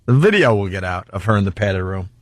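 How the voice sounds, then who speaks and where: A man's voice: low-pitched, expressive, fast, dry recording. A man hosting a radio talk show, chatting casually and fielding callers and topics.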